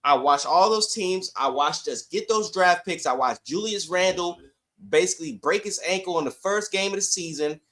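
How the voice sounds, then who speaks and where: Male sports podcast panelist, high register, conversational and insistent, repeats phrases for emphasis. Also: normal speed, a bit bright. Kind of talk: casual sports debate.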